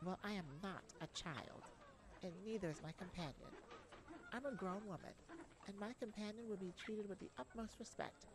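A woman voicing a brave but worried young princess in an ASMR audio roleplay, gentle, earnest and a little hesitant. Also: mid-range voice, moderate pace, background noise, pitched above the performer's own voice, natural voice. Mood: firm, commanding.

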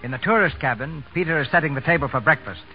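A man's voice: fairly high pitch, lively intonation, quick pace. A man narrating a scripted 1930s radio drama as its host. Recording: muffled 1930s radio broadcast. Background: music.

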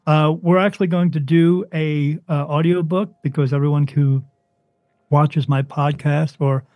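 A man from New Jersey, a spirit medium interviewed as a guest, talking emphatically and insistently, his voice soothing and deep. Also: fairly even intonation, unhurried, darkish tone, no room sound. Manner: emphatic, insistent, swings up in pitch when stressing points. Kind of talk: interview, impassioned preaching monologue.

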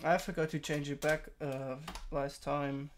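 A young man who is a bit sick, walking through a software tutorial in a rambling, casual way with many fillers and restarts. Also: on the high side, level tone, a little slow, a little hiss or hum. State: sick, throat-clearing voice. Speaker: tutorial streamer. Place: home room.

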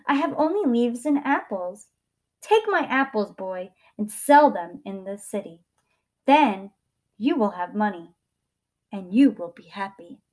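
A woman's voice: mid-range voice, very animated, unhurried, a little mellow, poor audio. A woman voicing a loving, generous female tree in a children's story, coaxing and eager to please. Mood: gentle, offering. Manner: coaxing, giving.

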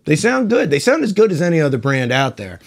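Congested guy in his 40s, gravelly, kinda high voice, rambly folksy chat at home. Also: sing-song, quick pace. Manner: rambling, folksy, hesitant restarts. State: stuffed up, congested. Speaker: guitar reviewer. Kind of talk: casual product review chat. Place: home music room, indoors.

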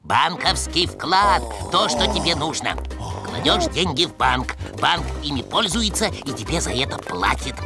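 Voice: mid-range voice, very animated, a little slow. An actor voicing a confident, encouraging cartoon animal giving money advice, over cartoon music.

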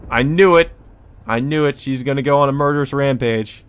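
A man's voice: mid-range voice, brisk, smooth. A man hosting a let's play, reading a visual novel aloud and reacting casually.

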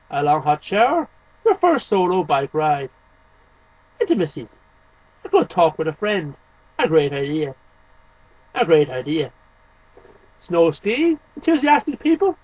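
A man with a high, rough-edged voice recites poems in short, emphatic phrases. His intonation swings widely from line to line. The sound is dull and lo-fi, like a webcam in a small room. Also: dry recording. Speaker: amateur poetry reader and video host. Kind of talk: poetry recitation to camera. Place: small home room lined with shelves, indoors. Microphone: webcam microphone a short distance away.

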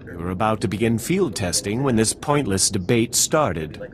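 A man's voice: on the low side, animated, normal speed, a crowd in the background, close to an everyday voice. A man playing a pompous government scientist in a video game, speaking in a haughty, precise, lecturing manner. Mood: smug. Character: pompous, self-assured male government scientist. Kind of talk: video game cutscene acting.

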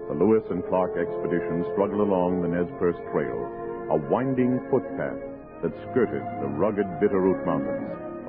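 A solemn American narrator of a frontier radio saga. His voice is low and formal, speaking scripted lines. The sound is a narrow, muffled old broadcast with music. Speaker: narrator, announcer.

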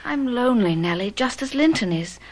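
A woman's voice: fairly high pitch, animated, brisk. An actress playing a sheltered, willful and lonely teenage girl in a radio drama. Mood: pleading.

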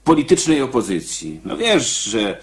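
An actor playing a streetwise, dismissive criminal in a prison radio drama, talking in an offhand, brusque way. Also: male voice, mid-range voice, sing-song, unhurried. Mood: matter-of-fact.

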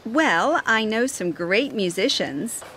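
A woman's voice: a little high, lively intonation, takes it slowly, a bit bright, a little hiss or hum. A woman reading a scripted coursebook dialogue as an upbeat, chatty photographer.

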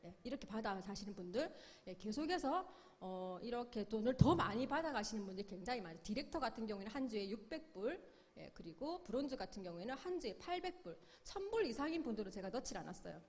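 A woman's voice: on the high side, big pitch swings, quick pace, a little mellow, big-room sound. A middle-aged woman giving a sales seminar lecture, upbeat, explaining with questions and acted-out quotes. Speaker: business seminar speaker.

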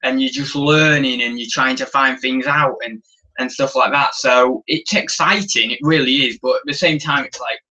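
A man's voice: mid-range voice, fairly even intonation, normal speed, pushed and bright, highs cut off. A young man on a casual live stream, showing auction finds and reading the chat, rambling with plenty of ums and restarts, recorded on a camera in a small room. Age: young adult. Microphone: camera on tripod.

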